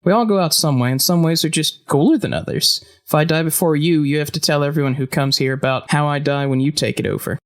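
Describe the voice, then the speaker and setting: A man's voice: fairly high pitch, fast talker, few pauses, natural voice. A man playing a talkative, theatrical fight-ring boss with a morbid streak in an audio drama. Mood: breezy, morbid.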